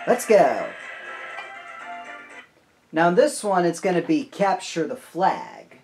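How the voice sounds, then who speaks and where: A gamer hosting a casual walkthrough, with a very lively, swinging pitch and an unhurried pace. They talk to themself as they play and are quick to exclaim. Music plays behind the voice. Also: low-quality recording. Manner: excitable exclamations and self-talk while playing. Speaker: video game walkthrough host. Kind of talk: casual gameplay commentary.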